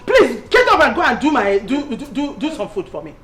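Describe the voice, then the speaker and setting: A woman's voice: on the high side, expressive, brisk, mellow, close to an everyday voice. An actress playing a domineering, emotional Nigerian mother-in-law in a melodramatic film, swinging between scolding and tenderness. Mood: angry scolding. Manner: scolding, repeating demands, emotional. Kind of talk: melodramatic film drama acting. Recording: music bed under dialogue.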